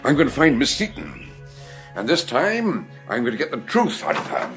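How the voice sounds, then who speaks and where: An actor playing an eccentric, learned older British detective in a radio drama, ruminative and exclamatory with old-fashioned turns of phrase. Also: male voice, mid-range voice, huge pitch swings, normal speed, fairly bright tone, soft background music. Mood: grimly determined. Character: eccentric older learned male detective doctor, erudite and theatrical.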